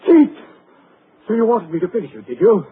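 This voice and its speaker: Wildly swinging, deranged radio-thriller doctor. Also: male voice, high voice, very animated. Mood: menacing frenzy. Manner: halting muttering swelling into frenzied shouts. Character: deranged doctor with a knife, obsessive and menacing. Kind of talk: 1940s radio thriller acting. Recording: scratchy, muffled 1940s radio recording.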